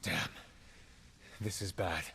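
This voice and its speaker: Earnest agent, long reverb. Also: male voice, deep voice, fairly even intonation, slow, vocal fry, pushed and bright, a little hiss or hum, heavily acted. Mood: worried. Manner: earnest, serious. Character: earnest, troubled male intelligence agent. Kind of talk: video game cutscene acting. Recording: long reverb, music underneath.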